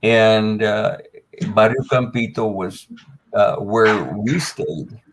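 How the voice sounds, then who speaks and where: A man's voice: fairly low pitch, steady tone, slow pace, noisy background. An older man, telling a long personal story as a guest on a panel, with many fillers and restarts. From United States.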